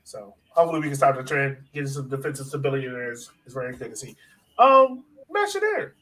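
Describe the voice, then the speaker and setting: A man's voice: medium pitch, big pitch swings, fast talker, bright, forceful tone, rough recording. An American man hosting a sports podcast in casual, chatty conversation. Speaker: podcast host.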